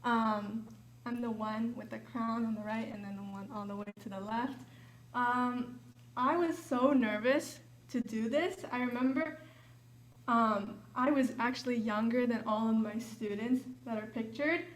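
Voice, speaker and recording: A woman's voice: on the high side, a little slow, low-quality recording. A young woman, a volunteer teacher, giving a casual, chatty talk, often saying "like" and "um". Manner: chatty, uses filler like and um. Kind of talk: casual presentation. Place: hotel event room.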